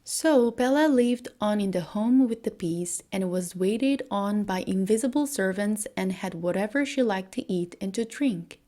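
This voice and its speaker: A woman storyteller reading a scripted bedtime fairy tale at a medium pitch. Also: animated, unhurried, few pauses, warm tone.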